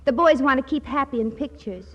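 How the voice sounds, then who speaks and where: A woman's voice: high-pitched, a little fast. An actress playing a sweet, guileless young woman with an American accent in a 1940s radio comedy. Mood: coy. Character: naive, sweet, earnest young woman in love. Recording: dull, noisy 1940s radio recording.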